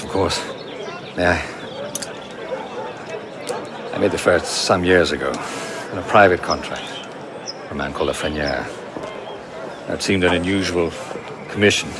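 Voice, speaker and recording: An actor playing a cautious, formal silversmith held captive, in a video game cutscene. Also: male voice, very deep voice, moderate pace, crowd noise, noisy background. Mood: guarded, courteous. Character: male captive silversmith, cautious, courteous, secretive.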